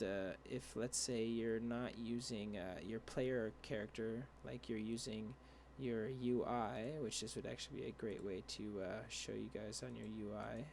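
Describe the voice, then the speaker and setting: A young man talks through a screen-share coding tutorial, stopping and restarting often and padding his sentences with fillers. His voice is dry and gravelly at an ordinary pitch. Faint room hum sits underneath. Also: a little mellow. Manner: rambling, halting with many fillers and restarts. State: dry voice, clearing throat. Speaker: tutorial creator.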